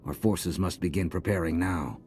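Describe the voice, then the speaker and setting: An actor playing a grave, formal supreme military commander in a video game, speaking in a measured way over background ambience. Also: male voice, deep voice, darkish tone, slight background noise, close to an everyday voice. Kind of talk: video game dialogue acting.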